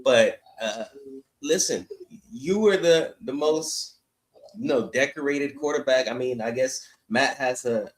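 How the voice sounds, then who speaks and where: A man's voice: medium pitch, measured pace. A man co-hosting a sports podcast, giving blunt, emphatic opinions in casual conversation. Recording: roomy, echoing sound.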